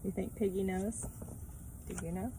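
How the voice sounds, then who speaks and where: A woman's voice: medium pitch, fairly even intonation, normal speed, noisy background. A woman reading a picture book aloud to children, with casual asides and simple questions to the listener.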